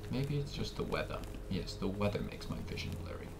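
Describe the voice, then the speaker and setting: A man with a raspy voice, casually commenting while he plays a game, joking and muttering asides. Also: fairly low pitch, big pitch swings, brisk. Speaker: gameplay commentator. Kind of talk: casual let's play commentary. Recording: noisy home recording with game sounds.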